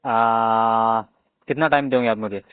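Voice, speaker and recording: A man's voice: on the low side, steady tone, slow, smooth, no echo. An Indian man on a casual phone conversation as a loan customer, evasive, stubborn and nonchalant in his answers. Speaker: loan customer on a collection call. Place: on a phone line. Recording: tinny, narrowband phone call recording.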